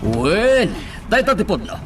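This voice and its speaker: A man's voice: high voice, sing-song, unhurried. A man with an Ilocano accent playing a scheming crime boss in a radio drama, giving orders to his henchmen. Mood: secretive, menacing. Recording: loud music under the voice.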